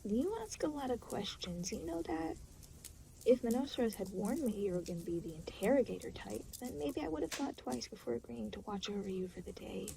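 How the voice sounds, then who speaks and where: A woman's voice: fairly high pitch, animated, brisk, vocal fry, dark tone, a little hiss or hum, rough recording. A woman playing an aloof, exasperated demon in an audio roleplay, talking to the listener in a dry, sarcastic, weary way. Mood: weary, sardonic. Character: aloof, exasperated demon, reluctantly babysitting a new soul.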